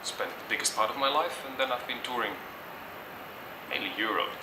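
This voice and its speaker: A man's voice: mid-range voice, a little fast. A middle-aged man from Germany answers interview questions thoughtfully, hesitating and restarting often. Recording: echoey, distant mic, noisy background.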